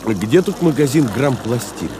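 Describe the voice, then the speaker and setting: A man's voice: mid-range voice, animated, unhurried, natural voice. An actor playing a polite, good-natured man visiting from the provinces, courteous and a little hesitant, in a radio play. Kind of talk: 1970s radio play acting. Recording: noisy old radio drama, street ambience.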